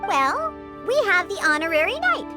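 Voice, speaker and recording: A woman's voice: extremely high pitch, sing-song, moderate pace, over music. A woman voicing a proud, grumpy young cat-girl bartender in a video game, haughty and disdainful in a childlike way. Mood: grudging. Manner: haughty, curt, grumbling. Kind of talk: English video game voice acting.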